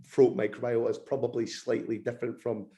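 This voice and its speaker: A man with a croaky, slightly hoarse throat, explaining research as a podcast guest, eager and technical. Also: mid-range voice, flat delivery, a little fast, dark tone.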